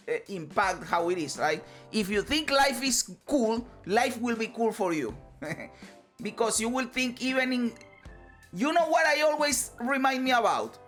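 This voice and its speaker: Woman vlogger, higher voice, blunt and profane, faint music under. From Venezuela. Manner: emphatic, swears freely, repeats phrases. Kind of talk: casual motivational monologue.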